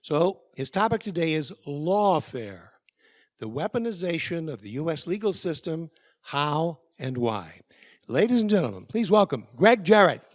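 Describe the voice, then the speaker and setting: A man's voice: a little high, big pitch swings, a little slow. Man casually introducing a speaker. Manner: casual, with frequent fillers. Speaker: event host introducing the speaker.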